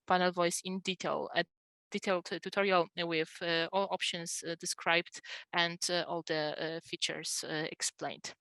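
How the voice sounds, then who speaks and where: A middle-aged woman presenting a software demonstration in a webinar, explaining step by step with frequent hesitant pauses, on a headset. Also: fairly low pitch, clear, resonant tone, dry recording. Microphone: headset on a video call.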